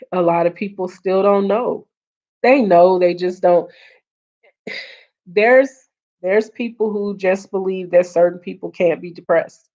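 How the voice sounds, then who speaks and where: A woman hosting a casual live stream, earnest and repeating her points, greeting viewers, her voice getting hoarse. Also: lowish voice, dark tone. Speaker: livestream host. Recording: dull lo-fi stream audio.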